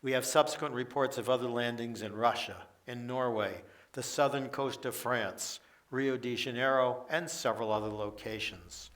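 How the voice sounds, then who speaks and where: Male actor as international official at a press briefing, radio drama, mid-range voice, formal and measured, a little far from the mic. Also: medium pitch, a bit bright, lightly acted. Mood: formal, reassuring. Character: formal United Nations Secretary General addressing press. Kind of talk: formal prepared statement in radio theater. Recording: distant from the microphone.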